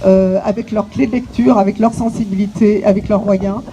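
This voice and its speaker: A woman from French Guiana gives an opening speech outdoors, at a middling pitch. She pauses often, fills gaps with hesitations and restarts her phrases. The recording carries clear background noise and clicks. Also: steady tone, warm tone. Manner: halting, many hesitations and restarts. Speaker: exhibition curator. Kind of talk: inaugural speech to visitors. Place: outdoors on an island site.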